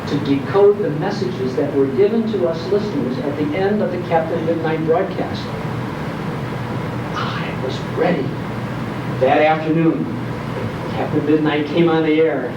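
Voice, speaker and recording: Older man, American accent, high-pitched voice, animated nostalgic storyteller, comic pauses, muffled distant recording. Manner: animated, dramatic pauses and repeats for comic effect. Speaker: guest speaker. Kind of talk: humorous nostalgic storytelling to an audience. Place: indoor hall at a live program. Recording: muffled, noisy, echoey room from far off.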